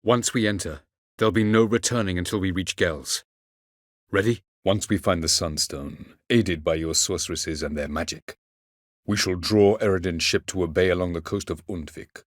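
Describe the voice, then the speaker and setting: Casting: ancient elf sage, video game. Deep voice, very dry close sound, aloof, measured, archaic and condescending. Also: male voice, no echo. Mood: measured, grave. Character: aloof, haughty ancient elven sage and mage. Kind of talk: video game character acting.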